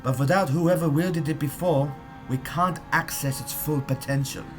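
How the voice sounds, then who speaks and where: A man's voice: a little high, normal speed, over music, natural voice. A man acting an anxious German rocket scientist who reports deferentially in a fan audio drama. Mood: earnest pleading. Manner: uneasy, earnest, explanatory.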